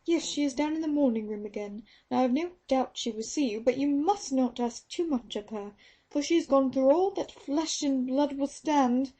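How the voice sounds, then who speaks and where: A woman's voice: sits high, normal speed, dark tone, voice pushed up, close to an everyday voice, low-quality recording. A woman reading an audiobook, voicing a stern, taciturn Australian nurse who is fiercely protective of her mistress. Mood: bitter, protective. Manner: indignant, protective. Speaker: audiobook reader.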